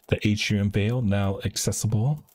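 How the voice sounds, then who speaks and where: A man's voice: lowish voice, fairly even intonation, normal speed, darkish tone. A man giving casual gameplay commentary as a game streamer.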